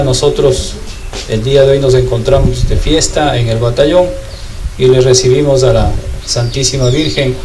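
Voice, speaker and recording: A man giving a formal, measured statement as an officer at a ceremony. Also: medium pitch, recorded from a distance, noisy background.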